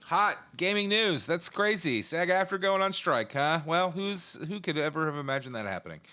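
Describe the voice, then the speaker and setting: A man's voice: fairly high pitch, animated, normal speed, pushed and bright, clean tone. Sarcastic jokey male co-host. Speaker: podcast co-host. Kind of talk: casual chat.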